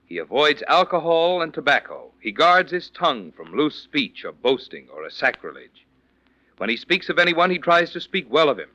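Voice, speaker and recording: A man delivers scripted 1940s radio announcements. His voice is fairly high, bright and very animated, on a dull vintage recording. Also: normal speed, no echo. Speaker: radio announcer reading sponsor message.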